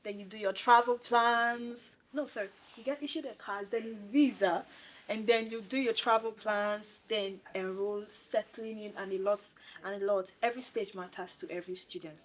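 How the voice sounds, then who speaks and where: A woman's voice: mid-range voice, normal speed, low-quality recording. Young female student host, chatty informal interviewer, mid-range voice, repeats points for emphasis. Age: young adult. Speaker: student union president hosting an interview.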